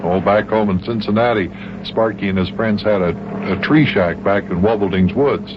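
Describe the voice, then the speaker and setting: A man playing a calm, easygoing, reassuring grown-up pal in a children's radio serial. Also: fairly low pitch, moderate pace, lightly acted. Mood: friendly, amused. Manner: relaxed, reassuring. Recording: noisy, muffled old radio recording.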